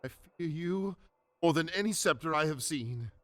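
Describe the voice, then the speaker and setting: A man plays a humbled old miser in a radio drama, addressing spirits with earnest, formal, theatrical pleading. His voice sits a little high for a man and is recorded dry and close, with no room around it. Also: animated, moderate pace, no room sound, close to an everyday voice. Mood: fearful, resolved.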